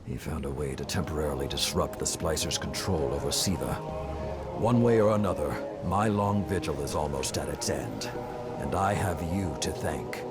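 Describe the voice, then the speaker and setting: A solemn, regretful veteran warrior from a fantasy video game. His voice is extremely low and full of gravel, and he speaks with deliberate weight. Loud music swells behind him. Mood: regretful, resolute. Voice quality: deep, gravelly. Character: weary old veteran Iron Lord warrior man, solemn and regretful. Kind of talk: dramatic video game acting.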